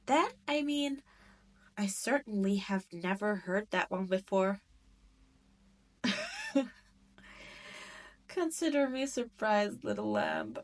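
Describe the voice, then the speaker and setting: A woman's voice: medium pitch, wildly swooping pitch. Give me a woman playing a haughty, possessive demon queen in an audio roleplay, teasing and condescending, taking her time over the words. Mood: amused, intrigued. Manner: slow, teasing, condescending drawl with theatrical emphasis. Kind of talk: scripted roleplay audio drama.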